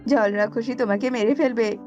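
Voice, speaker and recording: A woman voicing an earnest young prince held captive, in an animated storybook tale. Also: a little high, a little fast, lightly acted. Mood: warning. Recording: slightly dull audio with background music.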